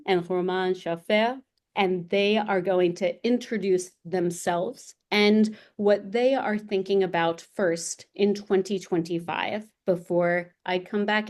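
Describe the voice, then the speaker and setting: Slow, measured woman moderator. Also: on the low side, a little mellow. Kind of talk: moderating a webinar panel.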